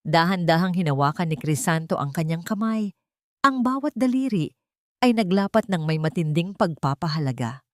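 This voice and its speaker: A woman narrating a scripted dramatic story in a measured, solemn and sentimental manner. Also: on the low side, lively intonation, no room sound, studio quality. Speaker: narrator of audio drama stories.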